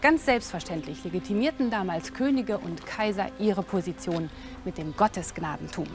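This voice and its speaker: A woman's voice: mid-range voice, expressive, normal speed. Woman explaining history over faint music. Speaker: documentary co-narrator and interviewer. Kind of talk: scripted narration.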